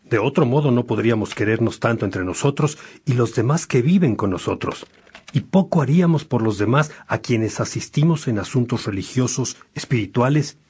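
A man playing an earnest, reflective bishop in a religious radio drama, speaking in a measured, pastoral way. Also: medium pitch, animated, darkish tone, slight background noise, lightly acted. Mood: warm, glad. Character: Saint Augustine, earnest reflective bishop among friends.

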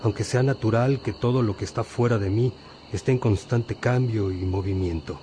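A man's voice: on the low side, moderate pace, warm tone, a little hiss or hum, natural voice. An actor playing a wise, patient older priest who teaches his disciples reflectively in a religious radio drama. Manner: reflective, didactic.

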